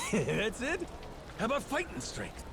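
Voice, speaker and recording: Swaggering, animated high-voiced pirate captain. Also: male voice, a little slow, vocal fry, noisy background, exaggerated voice. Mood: amused. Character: brash, rousing male yakuza pirate captain. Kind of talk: dramatic video game dialogue.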